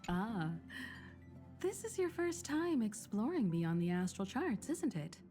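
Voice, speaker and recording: A woman's voice: a little high, sing-song, measured pace, mellow, faint music behind. A woman voicing a poised, kindly, mature expedition leader in a game, composed and reassuring with an elegant delivery. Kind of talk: anime-style game voice acting.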